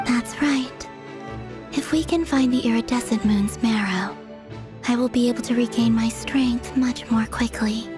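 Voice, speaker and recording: Serene game moon maiden, female actor, gentle breathy touch, higher register. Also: fairly even intonation, measured pace, music in the background, lightly acted. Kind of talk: video game voice acting.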